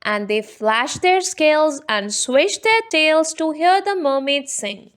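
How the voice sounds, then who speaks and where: A woman's voice: high voice, expressive, slow. A woman reading a rhyming picture book aloud for children. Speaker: children's story reader.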